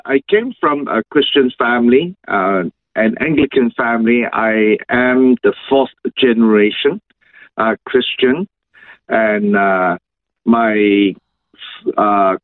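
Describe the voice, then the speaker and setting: A middle-aged man with a Malaysian accent, a pastor answering interview questions in halting, measured phrases over a video call. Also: mid-range voice, dry recording. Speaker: senior pastor, interview guest. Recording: thin phone-like video call audio.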